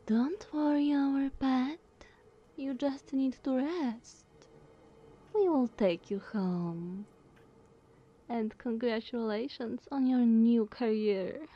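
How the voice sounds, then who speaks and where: A woman playing a dominant vampire sister in an ASMR roleplay, speaking softly and breathily with sweet condescension. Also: on the high side, slow, muffled recording. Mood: sweetly doting. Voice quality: soft, breathy, often whispered.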